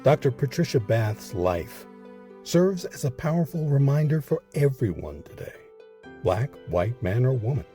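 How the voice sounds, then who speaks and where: A man's voice: medium pitch, big pitch swings, measured pace, mellow, faint music behind. A man delivering scripted, dramatic documentary narration as the series announcer.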